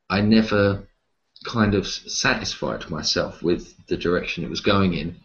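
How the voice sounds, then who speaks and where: A man being interviewed, talking casually and reflectively about his writing, with hesitant pauses. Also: deep voice, lo-fi sound. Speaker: interview guest, horror writer and publisher.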